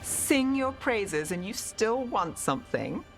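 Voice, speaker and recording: An actress playing a playful companion in a video game, teasing and mock-conspiratorial. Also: female voice, mid-range voice, very animated, slow pace, over loud music. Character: playful female companion coaxing a pet griffin. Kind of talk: video game cutscene acting.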